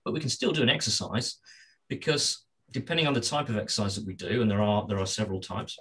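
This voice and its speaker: Husky low-voiced man explaining at length. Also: fairly even intonation, a bit bright, low-quality recording. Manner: measured, with many restarts and repeated words. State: slightly hoarse, clearing his throat. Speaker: business continuity expert and author, guest. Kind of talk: explanatory interview answers.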